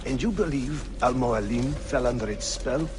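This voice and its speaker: A man's voice: mid-range voice, moderate pace, background noise, natural voice. An actor playing a proud, resentful male assassin, an accusing rival who speaks with indignant, theatrical emphasis in a video game cutscene. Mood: suspicious. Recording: loud music under the dialogue.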